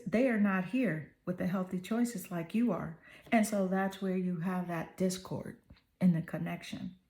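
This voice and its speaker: Hoarse woman giving a tarot reading. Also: mid-range voice, dark tone. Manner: soothing, affirming, repeats "right?". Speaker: tarot reader.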